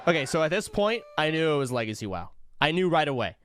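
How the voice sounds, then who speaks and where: A man's voice: a little high, big pitch swings, quick pace, a bit bright, a little hiss or hum. A man livestreaming casual reaction commentary, rambling along in slangy, sweary language.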